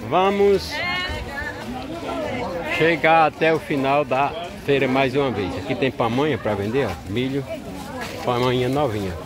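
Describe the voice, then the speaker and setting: A man with a Northeastern Brazilian accent narrating a relaxed walking tour of a street market, chatting with vendors. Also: medium pitch. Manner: chatty, greets vendors warmly, relaxed unhurried commentary. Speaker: vlogger touring a street market. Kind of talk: casual walking vlog narration. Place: busy outdoor street market. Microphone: handheld camera mic while walking. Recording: crowded outdoor street market. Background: market crowd chatter.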